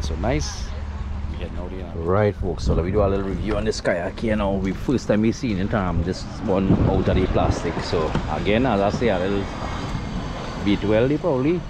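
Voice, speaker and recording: A man's voice: lowish voice, noisy background. A man with a Trinidadian accent giving casual, laid-back vlog commentary to his viewers, recorded outdoors on an action camera. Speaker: outdoors vlogger. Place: outdoors on the water in a kayak bay. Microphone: handheld action camera.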